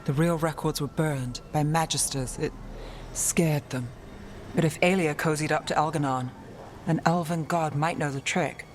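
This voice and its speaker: A woman's voice: low voice, fairly even intonation, normal speed, a little hiss or hum, lightly acted. A woman playing a guarded, world-weary detective in a video game, with a wry, clipped, brooding manner. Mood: grim, explanatory.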